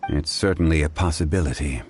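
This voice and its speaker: An actor voicing a calm, learned, dignified gentleman in a video game, speaking in a formal, measured way. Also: rumbling low, a little hiss or hum. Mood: grave, composed. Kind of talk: video game character acting. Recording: faint music behind the voice.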